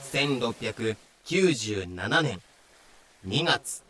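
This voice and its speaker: A man's voice: medium pitch, lively intonation, drawn-out words, a bit bright, low-quality recording. A man narrating a scripted audio drama.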